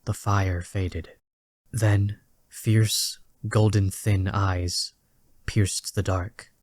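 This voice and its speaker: A man narrating a scripted dramatic story in a measured, literary storytelling manner. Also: on the low side, flat delivery, darkish tone, no echo, clean studio sound.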